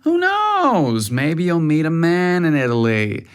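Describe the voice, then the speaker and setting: Guy doing a pushy loving mom for a story, kinda higher voice, dramatic and exasperated coaxing. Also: huge pitch swings, slow, clear, resonant tone, heavily acted. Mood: gushing, dreamy. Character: gushing older mother, pushy and affectionate.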